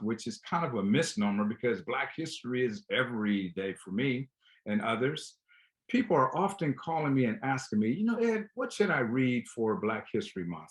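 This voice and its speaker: A man hosting a talk show, speaking conversationally and directly to his listeners and guest. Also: medium pitch. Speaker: show host. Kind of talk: conversational show introduction and interview.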